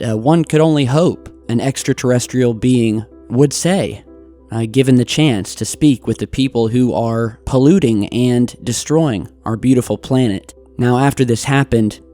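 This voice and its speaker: A man hosting a video, giving earnest, deliberate scripted commentary. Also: mid-range voice, warm tone. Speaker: YouTube host. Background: music.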